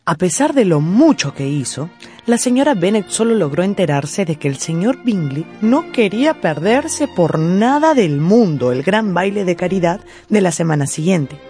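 A woman narrating a scripted radio drama as storyteller, playfully, with dramatic pauses. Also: on the low side, very animated, dark tone, faint music behind.

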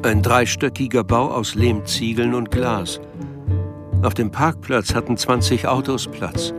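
A man's voice: medium pitch, unhurried, music in the background, lightly acted. A man reading scripted literary narration as the sober storyteller of a radio play. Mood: sober, measured.

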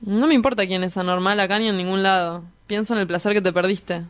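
A woman's voice: mid-range voice, steady tone, fast, lightly acted. A woman with an Argentine accent playing a reckless, cynical young male drug dealer in a radio drama, slangy, teasing and insolent. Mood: teasing, defiant. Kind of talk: scripted radio drama acting.

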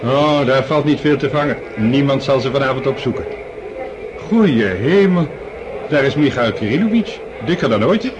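A man's voice: medium pitch, expressive, normal speed, highs cut off, noisy background, close to an everyday voice. A man acting a genial, chatty count and doting father in a Dutch radio drama, jovial and full of exclamations. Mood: gossipy, amused. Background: music.

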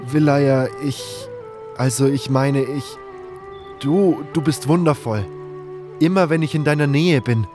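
A man playing a smitten, awkward young adventurer who speaks haltingly in a scripted fantasy audio drama. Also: mid-range voice, music in the background, lightly acted. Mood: earnest, smitten. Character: nameless male adventurer, smitten, awkward, self-deprecating hero. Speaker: narrator and lead actor of a fan audio drama. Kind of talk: first-person scripted narration and dialogue.

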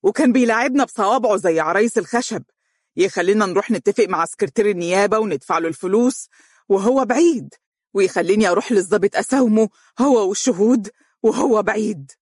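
A narrator doing a desperate Egyptian man shouting. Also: high-pitched, quick pace, no echo, clean studio sound, voice pushed up. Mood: bitter. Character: panicked young man, ashamed and desperate.

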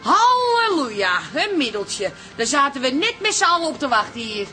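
An actress playing a brisk, sardonic pub landlady with a Netherlands Dutch accent and a mocking manner in a radio play. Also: sits high, big pitch swings. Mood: teasing. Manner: wry, mocking, colloquial. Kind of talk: radio comedy-drama acting. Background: music.

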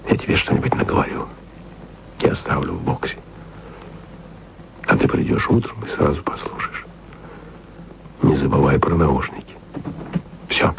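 A man's voice: a little hiss or hum, low-quality recording. An actor playing an aging émigré radio man in a radio play, speaking tenderly and confidingly in a half-whisper to his beloved. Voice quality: very deep, gravelly. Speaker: radio broadcaster leaving taped messages. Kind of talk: intimate radio play monologue.

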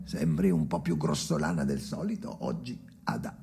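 An actor playing a brooding man haunted by his dead father in an experimental radio drama, theatrical and volatile, moving between muttering and pleading, with a gravelly voice. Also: sits low, huge pitch swings, moderate pace, heavy background noise, exaggerated voice. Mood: troubled, regretful.

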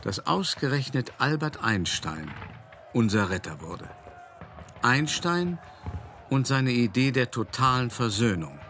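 A man's voice: medium pitch, big pitch swings, measured pace, fairly bright tone. An actor playing a worldly, cultured older art dealer who narrates his memories in a radio play, conversational and wry, addressing the listener directly. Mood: reminiscing, wry. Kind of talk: first-person radio play monologue. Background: music.

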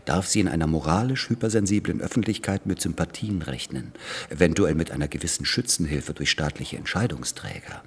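An actor playing an impatient, condescending business lawyer in a German radio drama, speaking with sarcastic, rhetorical emphasis. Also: male voice, very deep voice, a little fast, husky, exaggerated voice. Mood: smug, pointed.

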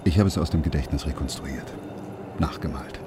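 An actor playing a secretive, worried brain surgeon father who speaks in an urgent, hushed, pleading way. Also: extremely low pitch, a little fast, background noise.

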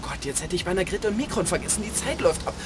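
An actor playing an eager young boy computer whiz, urgent and excitable, in a German children's radio drama. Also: mid-range voice, rapid-fire, over music, natural voice. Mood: anxious, scheming.

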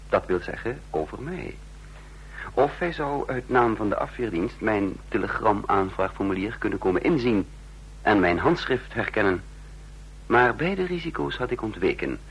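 An actor playing a cool, cunning secret agent in a radio drama, measured and ironic. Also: male voice, low voice. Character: cool, cunning male secret agent, smooth-talking and mercenary. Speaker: lead actor and narrator. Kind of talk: Dutch radio drama acting. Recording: noisy vintage radio recording with hum.

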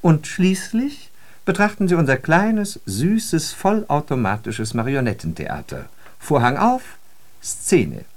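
A man's voice: fairly high pitch, big pitch swings, slow pace, exaggerated voice. A man acting in a radio drama as a worldly, ironic storyteller, speaking with theatrical emphasis. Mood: mock-cheerful showmanship. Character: worldly man telling ironic travel parables of New York. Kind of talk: scripted German radio drama monologue.

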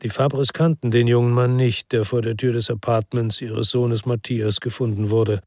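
A man's voice: mid-range voice, flat delivery, normal speed, darkish tone, dry recording, clean studio sound, lightly acted. An actor playing a weary, brooding ex-policeman who narrates a radio crime drama in the first person. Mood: brooding, sober.

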